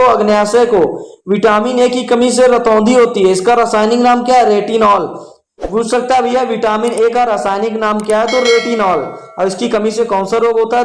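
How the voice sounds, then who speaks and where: Very high-pitched man teaching. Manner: repeats questions and answers for emphasis, addressing listeners familiarly. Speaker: exam coaching teacher. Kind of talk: question-and-answer exam revision lesson. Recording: echoey room.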